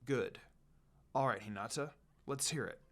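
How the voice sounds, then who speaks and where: A narrator voicing a calm, lazy male ninja teacher who instructs in a measured, low-key way. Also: soft background music. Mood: lazy, encouraging.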